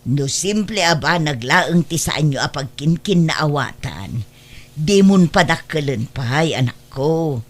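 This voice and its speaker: A woman's voice: low voice, lively intonation, a little slow. A woman playing a frank middle-aged mother in an audio drama, chiding and advising in a matter-of-fact way.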